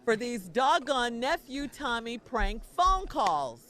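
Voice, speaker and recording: A woman co-hosting a morning radio show, playfully officious and quick to interrupt as she runs a mock hearing. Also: fairly high pitch, sing-song, a bit bright. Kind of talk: lively morning radio banter.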